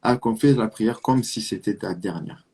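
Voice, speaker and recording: Husky-voiced Marseille man preaching earnestly. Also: lowish voice, moderate pace, a little mellow. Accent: southern French (Marseille). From France (Marseille). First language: French. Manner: earnest, exhortative, rhetorical questions and repetition. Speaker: Islamic preacher. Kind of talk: religious talk addressing the listener directly.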